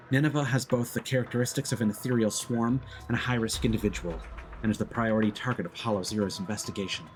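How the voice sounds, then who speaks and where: A man voicing a formal, measured research examiner from a video game. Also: fairly low pitch, natural voice.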